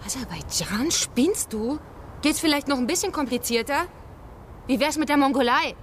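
A woman's voice: high voice, lively intonation, normal speed, a bit bright, a little hiss or hum. An actress in a radio drama playing a brusque, impatient woman with a firm, clipped manner. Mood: exasperated. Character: brusque, impatient woman helper pushing migrants onward.

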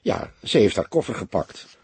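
A man's voice: lowish voice, very animated, moderate pace, a little mellow, husky, no echo, full character voice. A man voicing a young unmarried mother, upset, defensive and bitter about men, in an audiobook. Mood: subdued, explaining. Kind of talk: audiobook character dialogue.

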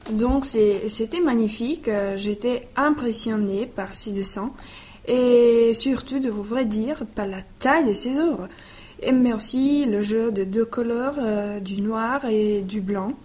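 A woman's voice: fairly high pitch, measured pace, darkish tone. A woman radio presenter reading an introduction and giving an enthusiastic review of an exhibition. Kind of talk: read narration and review.

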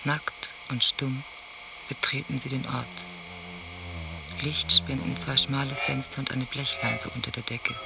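An actor in a radio drama playing a detached teller of bleak, intimate scenes, with measured, solemn phrasing. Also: mid-range voice, creaky. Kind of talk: literary radio drama monologue. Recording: loud music under the voice. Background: music.

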